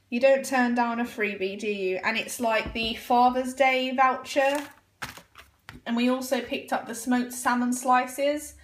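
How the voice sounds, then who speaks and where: A woman's voice: a little high, a little hiss or hum. A young woman chatting casually through her grocery haul at home, hesitant, with frequent ums and "I think". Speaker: vlogger showing a grocery haul. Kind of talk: casual chat. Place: home kitchen, indoors.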